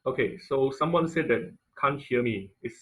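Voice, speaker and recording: A man teaching an online class in an informal lecture style, with halting, hesitant phrasing and repeated words, speaking over a video call. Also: mid-range voice, expressive, a bit bright, rough recording. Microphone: computer mic on a video call.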